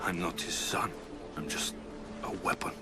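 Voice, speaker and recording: An actor playing a brooding, defiant ancient Greek warrior in a video game, earnest and wry. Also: male voice, moderate pace, whispered, lightly acted. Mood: somber. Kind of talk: cinematic video game acting. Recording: music under the voice.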